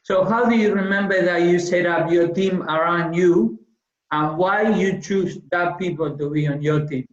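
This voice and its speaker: A man from Argentina with a fairly high voice and an Argentine Spanish accent speaks as an interviewer. His manner is friendly and appreciative. The recording is echoey and somewhat muffled. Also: moderate pace. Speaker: interviewer, football coach. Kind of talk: conversational interview. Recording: muffled, echoey room sound.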